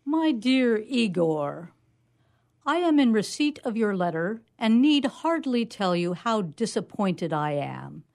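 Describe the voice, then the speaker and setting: A woman's voice: medium pitch, big pitch swings, slow, gravelly, a little mellow, full character voice. A woman reading comic fiction as a haughty mad scientist, scolding in a pompous, florid manner. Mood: haughty reproach. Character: haughty, indignant mad scientist dictating a letter.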